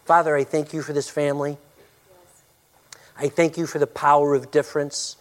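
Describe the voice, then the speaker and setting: A male pastor preaching a sermon and praying, with a loud, forceful voice, emphatic when preaching and hushed in prayer. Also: on the high side, level tone, normal speed.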